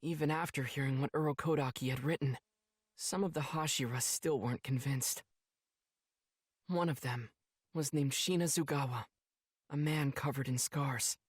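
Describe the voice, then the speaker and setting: A man's voice: medium pitch, moderate pace, husky, dry recording, clean studio sound, lightly acted. A man playing an earnest, emotional teenage boy demon slayer, narrating reflectively in an anime game. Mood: somber narration. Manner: earnest, heartfelt, softly reflective.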